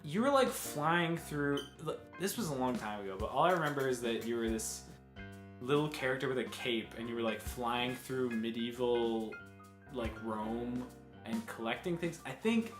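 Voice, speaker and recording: A man's voice: mid-range voice, animated, normal speed. A young man co-hosting a podcast in a casual, joking, slangy style, often profane and giggly. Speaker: podcast co-host. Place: bedroom, indoors. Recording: roomy echo with faint music behind.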